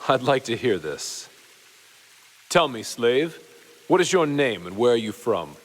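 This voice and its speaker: Slow, stately Roman officer. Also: male voice, mid-range voice, very animated. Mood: curious, measured. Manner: formal, stately, measured. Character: commanding Roman officer, proud, thoughtful, humbled by faith. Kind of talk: dramatized family Bible audio drama.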